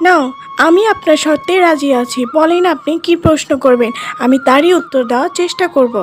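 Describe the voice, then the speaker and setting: Wise little cartoon girl, adult female performer, high-pitched, gentle, sincere, light music behind. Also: fairly quick, lightly acted. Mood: resolute. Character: wise, caring little village girl, earnest and brave.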